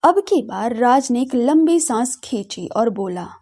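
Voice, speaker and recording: A woman's voice: on the high side, animated, a little slow, mellow. A woman narrating a scripted audio drama story as a radio storyteller.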